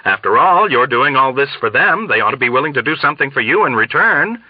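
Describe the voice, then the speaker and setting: A pompous, frantic colonel from an audiobook, acted out by a male reader, swings widely in pitch with emphatic, sententious exclamations. The sound is dry and a little dull. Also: sing-song, fairly quick, breathy edge, no room sound. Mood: eager, emphatic. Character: blustering, frantic, scheming male military colonel, eager and pompous. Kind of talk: dramatized audiobook reading.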